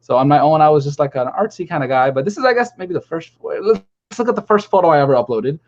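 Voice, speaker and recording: A man's voice: on the high side, expressive, fast talker, a little hiss or hum. A man hosting a casual chat on a video call, rambling and chatty. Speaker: stream host. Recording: lo-fi call audio.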